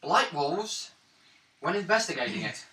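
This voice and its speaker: A man's voice: on the high side, expressive, a little slow, bright, forceful tone, recorded from a distance. A man playing a tabletop roleplay game, speaking in casual chat. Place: indoor room at gaming table. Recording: roomy echo, faint noise.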